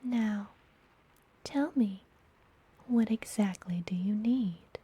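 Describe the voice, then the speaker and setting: A woman playing a friendly, mysterious witch and healer in an ASMR fantasy roleplay, whispering softly and gently with a teasing manner. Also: mid-range voice. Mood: soothing, teasing. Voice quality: soft, breathy whisper. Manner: gentle, slow, playfully teasing.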